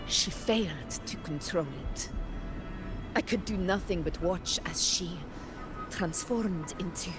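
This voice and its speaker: A woman voicing a proud, resolute warrior ruler in a video game, speaking in a formal, measured, commanding way. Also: lowish voice, lightly acted. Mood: grave, reflective. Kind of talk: dramatic game dialogue. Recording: music behind the voice. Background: background music.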